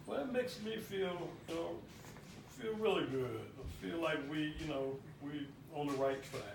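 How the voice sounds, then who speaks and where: A man playing a halting, hopeful father in his fifties. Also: on the high side, creaky, echoey room, distant mic, background noise. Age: 50s. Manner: halting, repeats words, many "you know"s. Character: hopeful middle-aged father in family therapy. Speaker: father in a role-played therapy session. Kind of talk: improvised role play.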